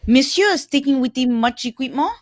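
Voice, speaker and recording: A woman's voice: sits high, animated. A woman voicing a formal, courteous male Belgian detective with a French-tinged accent, in the style of an old radio drama. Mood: earnest, formal. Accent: French-tinged Belgian accent, put on. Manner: formal, polite, measured foreign phrasing.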